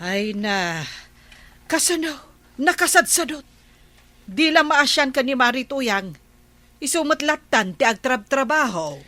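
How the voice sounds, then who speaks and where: A woman's voice: high-pitched, sing-song, measured pace, pauses often, pushed and bright, no room sound, faint music behind, heavily acted. A woman playing a village captain's nagging but caring wife, scolding and emphatic, in an Ilocano comedy radio drama, with an Ilocano accent. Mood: disapproving.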